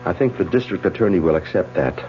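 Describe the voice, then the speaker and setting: Tense controlling man, swoopy pitch, muffled old radio w music. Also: lively intonation, fast talker, slight background noise. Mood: solemn. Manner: urgent, coaxing, then solemn. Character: man named Philip, anxious and controlling. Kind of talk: 1940s radio acting.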